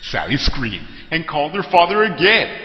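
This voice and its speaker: A man's voice: a little high, huge pitch swings, moderate pace, muffled recording. A man narrating a scary story in a dramatic, scripted style. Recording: echoey long reverb. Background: music.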